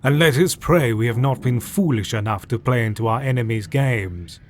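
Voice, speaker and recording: A man's voice: medium pitch, moderate pace, slight background noise, natural voice. A man playing a solemn, commanding Victorian doctor who hunts vampires in a horror audio drama, with formal delivery. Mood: foreboding.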